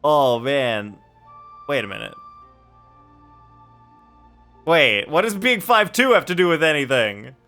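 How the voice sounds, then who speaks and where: Bright, very animated male game streamer. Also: on the high side, moderate pace, faint music behind. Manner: playful, jokey, reads chat aloud. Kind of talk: casual live-stream commentary, chatting with viewers.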